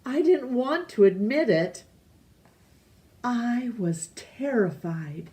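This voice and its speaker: A woman reading a children's picture book aloud, deliberately, with pauses between phrases. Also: fairly high pitch, very animated, warm tone. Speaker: storytime reader.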